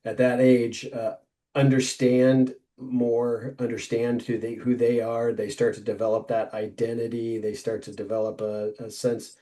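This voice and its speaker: Mellow-voiced podcast host, a man. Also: mid-range voice, flat delivery, measured pace. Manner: friendly, conversational questioning. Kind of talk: casual interview chat.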